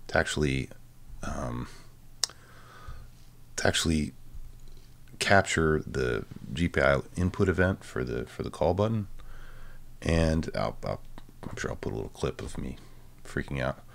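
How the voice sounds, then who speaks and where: A man giving a casual, halting tech demo of a hobby project, dryly informal and self-deprecating, with a gravelly voice. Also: a bass voice, expressive. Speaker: presenter of a hobby electronics project.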